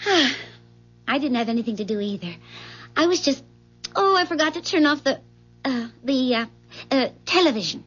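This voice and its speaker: An actress playing a flustered, polite housewife in a 1970s radio drama, with a fluttery, hesitant way of correcting herself. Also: female voice, high-pitched, lively intonation, moderate pace, long pauses, a bit bright, husky, full character voice. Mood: welcoming, flustered. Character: flustered, polite housewife, inventor's evasive wife. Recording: dull vintage 1970s radio recording.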